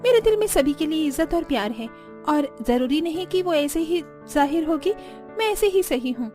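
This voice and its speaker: A woman's voice: high-pitched, lively intonation, normal speed, warm tone, faint music behind, pitched above the performer's own voice. A woman voicing a spoiled, irritable younger sister and new bride in a cartoon story, whiny and defiant. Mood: defiant, dismissive. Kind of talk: Hindi cartoon story acting.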